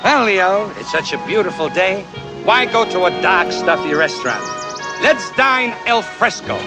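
An actor playing a bombastic, scheming middle-aged producer, theatrical and swinging between coaxing and bellowing in broad comic film style. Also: male voice, high-pitched, sing-song, unhurried, loud music behind the voice. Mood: genial, smooth.

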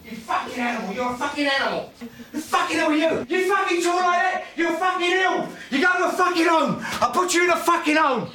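A man's voice: high voice, lively intonation, brisk, fairly bright tone, slight background noise. An actor playing a volatile, menacing middle-aged father in a naturalistic film, aggrieved, repeating himself and swearing. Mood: raging. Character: volatile, menacing father of a troubled teenage son.

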